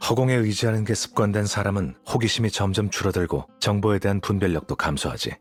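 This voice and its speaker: A man's voice: lowish voice, fast talker, natural voice. A man playing a cool, calculating scholar in a video game, explaining things in a composed, matter-of-fact way. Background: background music.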